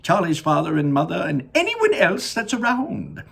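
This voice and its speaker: A man voicing an eccentric, earnest old chocolate maker in a storybook, excitable and emphatic, with drawn-out words. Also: sits high, vocal fry, slightly rough, voice pushed up, full character voice. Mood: urgent. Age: older.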